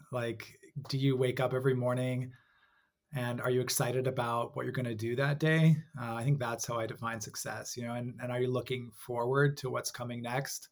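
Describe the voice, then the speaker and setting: A young adult man with an American accent, a guest in a conversational interview, speaking in an articulate, measured way. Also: mid-range voice. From Hong Kong.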